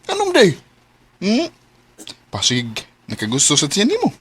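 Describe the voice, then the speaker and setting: An actor playing a teasing young best friend in a radio drama, with a Cebuano Filipino accent. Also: male voice, sits high, huge pitch swings, measured pace, a little hiss or hum, exaggerated voice. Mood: teasing, jovial.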